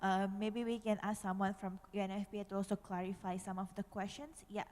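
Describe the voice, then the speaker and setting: A woman's voice: medium pitch, flat delivery, brisk, darkish tone. A woman moderating a question session, polite and directing as she keeps the speakers in order. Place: large conference hall. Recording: reverberant conference hall.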